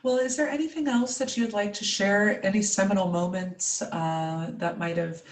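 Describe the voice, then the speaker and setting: A woman hosting an interview and speaking calmly about her own client work. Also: medium pitch, moderate pace, echoey room, far from the mic. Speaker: interview host and practitioner. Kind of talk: video call interview.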